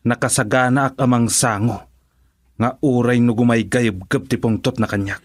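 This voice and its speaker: A man's voice: mid-range voice, fairly even intonation, normal speed, dry recording, clean studio sound, lightly acted. A man playing a proud, defiant husband in an Ilocano radio drama. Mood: defiant, irritated.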